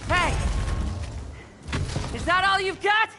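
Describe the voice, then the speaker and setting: A woman's voice: extremely high pitch, expressive, slow pace, pushed and bright, exaggerated voice. A woman voicing a brash, fearless young knight who is eager for a fight, boisterous and challenging, in a video game. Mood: boisterous, taunting. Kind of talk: video game character dialogue.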